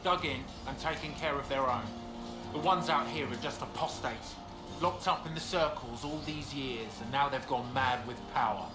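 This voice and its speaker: A man's voice: medium pitch, moderate pace, over music, natural voice. Man playing grim fantasy soldier, echoey. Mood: grim, resigned. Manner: plain-spoken, grim. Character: stern, embittered male soldier, protective of common folk. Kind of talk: dramatic video game dialogue.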